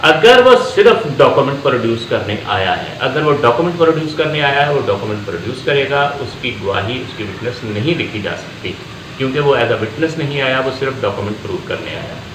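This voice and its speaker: A man with a Pakistani accent giving an explanatory law lecture, deliberately repeating phrases for emphasis and switching into English terms. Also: medium pitch, big pitch swings. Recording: echoey room with faint background noise.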